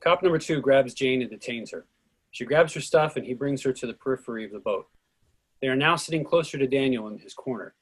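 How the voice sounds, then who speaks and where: Husky man reading stage directions. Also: mid-range voice, brisk. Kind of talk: scripted narration of stage directions.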